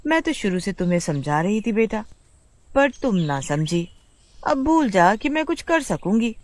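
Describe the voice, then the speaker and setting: A woman voicing a worried mother of two daughters in a cartoon, speaking softly and fretfully. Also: mid-range voice, very animated, measured pace, no echo, voice pushed up. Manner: soft, worried complaining.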